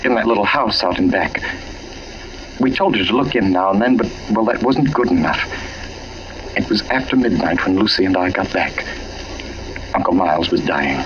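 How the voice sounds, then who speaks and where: A man's voice: deep voice, normal speed, pauses often, a crowd in the background, lightly acted. A man playing an anxious, guilt-ridden husband in 1940s radio mystery style, speaking urgently and earnestly. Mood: troubled, confiding. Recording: dull, muffled old radio recording.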